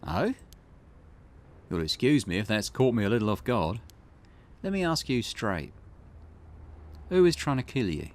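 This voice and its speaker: A man's voice: on the low side, big pitch swings, a little slow, pauses often. A man voicing a skeptical, plain-spoken private detective with a dry, questioning manner in a visual novel. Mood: wry, probing. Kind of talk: character voice reading visual novel dialogue.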